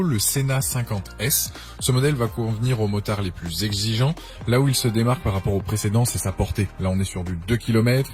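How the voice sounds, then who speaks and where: Fast-talking low-voiced man reviewing products. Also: darkish tone. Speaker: product reviewer presenting a buying guide. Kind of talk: scripted product review narration. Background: background music.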